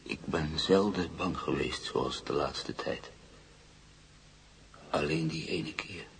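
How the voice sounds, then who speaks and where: An actor playing a troubled male patient in a radio play, recalling frightening memories in halting, brooding fragments. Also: a bass voice, gravelly, a bit bright, slight background noise, full character voice. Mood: brooding, haunted. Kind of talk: Dutch-language radio play acting.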